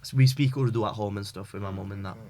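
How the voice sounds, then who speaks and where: A man's voice: lowish voice, fast, warm tone. A young man from Pakistan, chatting loosely as a podcast guest, full of fillers and slang. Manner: rambling, filler-heavy, uses British slang. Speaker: guest wrestler. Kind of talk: casual podcast chat.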